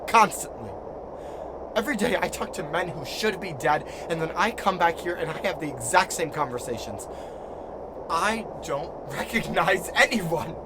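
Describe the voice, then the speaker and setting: A man playing a lonely, guilt-ridden, desperate figure in an audio drama, halting and repeating himself as he pleads. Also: sits high. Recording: clear background noise and ambience.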